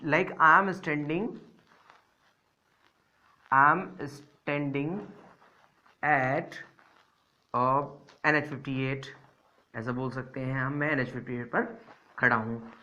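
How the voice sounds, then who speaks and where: A male teacher giving a grammar lesson to learners. He talks slowly and deliberately, repeating each example sentence word by word. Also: on the high side, a bit bright, muffled recording. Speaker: English teacher.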